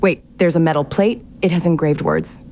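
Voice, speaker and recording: An actress plays a curious young woman in a mystery podcast drama, talking to herself with dry, exasperated sarcasm. Her voice sits a little low and sounds natural and conversational, like a private voice memo. There is noticeable noise behind her. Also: normal speed, darkish tone, close to an everyday voice. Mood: incredulous, amused. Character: sardonic, curious young woman investigating her late relative's mysterious house. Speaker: lead actor in an audio drama. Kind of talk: naturalistic fiction podcast acting, thinking aloud into voice memos.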